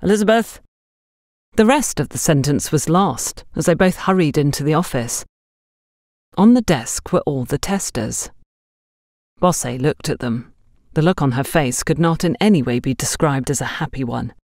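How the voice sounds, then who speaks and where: A woman narrates a scripted story in a low-pitched voice. Her reading is lively and varied in pitch, with a dry, close sound. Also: normal speed, dry recording. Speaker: audiobook narrator.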